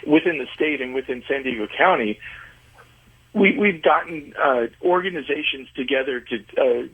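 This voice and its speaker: Male interview guest, husky and conversational, stumbles over repeats while explaining, heard over a narrow telephone line. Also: mid-range voice, lively intonation, moderate pace. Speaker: interview guest, music venue organizer. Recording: muffled, narrow phone-like line.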